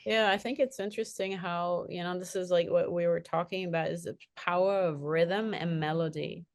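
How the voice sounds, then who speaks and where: A woman's voice: lowish voice, steady tone, moderate pace, dry recording. A woman moderating a panel conversation, speaking reflectively with frequent restarts and fillers. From partly raised in Switzerland. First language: bilingual, French second language.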